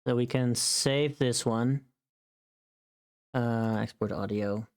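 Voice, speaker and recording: Very low-voiced woman streamer, casually chatting. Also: slow, darkish tone, clean tone. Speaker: livestreamer. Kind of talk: casual chat while working on a livestream.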